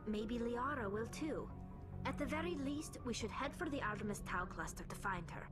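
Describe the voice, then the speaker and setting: An actress playing a hopeful, diplomatic starship crew member in a video game. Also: female voice, on the high side, expressive, normal speed, music in the background, lightly acted.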